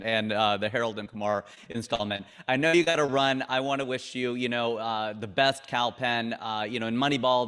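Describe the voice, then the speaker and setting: A man's voice: mid-range voice, fairly bright tone. A man hosting an interview in an effusive, appreciative way. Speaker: interview show host. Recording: long reverberant room echo.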